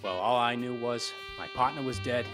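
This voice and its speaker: An actor playing a tough, wry, impatient private detective in a 1940s-style radio play, clipped and sardonic. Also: male voice, mid-range voice, normal speed, over music, lightly acted. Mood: wry, narrating. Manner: clipped, sardonic, hard-boiled.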